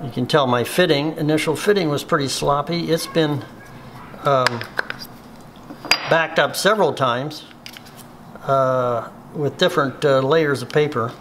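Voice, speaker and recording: A middle-aged man giving a hands-on woodworking tutorial in a workshop, unhurried and plainspoken, with many ums and uhs. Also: on the high side, noisy background. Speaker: woodworking instructor.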